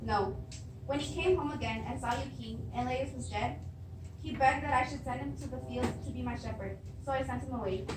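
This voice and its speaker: A woman's voice: sits high, brisk, close to an everyday voice. A young woman acting an anxious, reassuring queen and wife in a readers theatre Greek tragedy. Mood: matter-of-fact. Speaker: student actor. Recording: distant echoey room with clear background noise.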